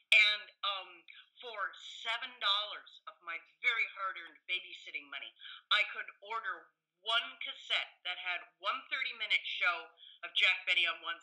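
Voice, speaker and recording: A woman's voice: fairly low pitch, sharp tone, poor audio. An American woman around sixty, a professor chatting enthusiastically and telling anecdotes as an interview guest. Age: 50s to 60s. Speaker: history professor and author, podcast guest.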